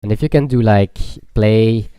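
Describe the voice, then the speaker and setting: A man casually commentating a card game tournament stream, explaining plays in relaxed gamer slang. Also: on the low side, normal speed, smooth, low-quality recording.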